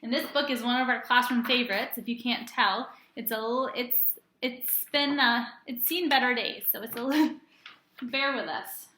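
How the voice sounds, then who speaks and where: A woman teacher reading aloud to young children in a deliberate, sing-song way, asking questions and answering them herself. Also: on the high side, pushed and bright, roomy sound, slight background noise. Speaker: early-grades teacher.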